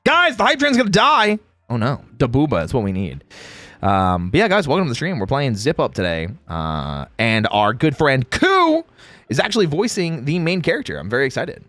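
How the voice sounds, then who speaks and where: A man's voice: mid-range voice, normal speed, no echo. A man hosting a casual livestream, chatting playfully with viewers, teasing and breaking into exclamations. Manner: exclamatory, animated reactions. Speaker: streamer and host; game voice actor.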